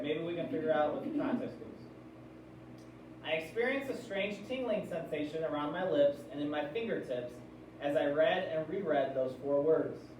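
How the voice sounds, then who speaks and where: Male teacher, American, fairly high voice, explanatory read-aloud style with check-in questions; noisy, reverberant classroom recording. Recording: very echoey room, distant mic, noisy.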